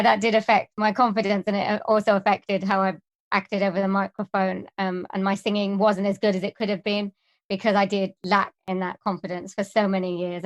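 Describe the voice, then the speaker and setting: A Welsh woman answering interview questions earnestly, pausing often with hesitant ums. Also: medium pitch. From Wales. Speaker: guest, singer and voice artist.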